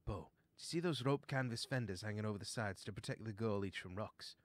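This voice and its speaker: A man's voice: on the low side, brisk, dry recording, natural voice. A man voicing a good-hearted, practical mouse adventurer in a storybook, with a plain, earnest manner. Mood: questioning.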